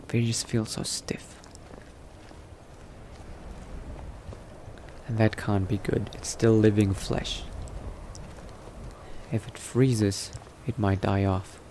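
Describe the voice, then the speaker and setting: Brooding new vampire male gamer in the role: lowish pitch, very unhurried, hushed wary self-talk for game roleplay, noisy ambient backdrop. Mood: wary, uneasy. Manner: hushed, halting self-talk with sighs and pauses. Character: cautious newly turned male vampire, brooding adventurer thinking aloud. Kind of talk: in-character video game roleplay monologue. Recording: noticeable background noise.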